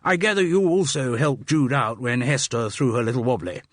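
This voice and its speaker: A man's voice: medium pitch, moderate pace, dry recording, clean studio sound, pitched above the performer's own voice, natural voice. Here is a man acting out a bluff, chubby Englishman of about sixty in an audiobook novel. His public school accent is hearty and jovial, full of chummy bluster, and it sits in a raised, pushed register.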